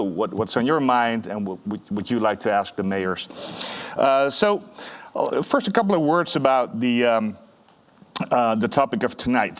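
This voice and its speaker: A man from the Netherlands with a Dutch accent, moderating a panel and speaking hesitantly, with frequent fillers and restarts. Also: mid-range voice. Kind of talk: opening remarks at a panel.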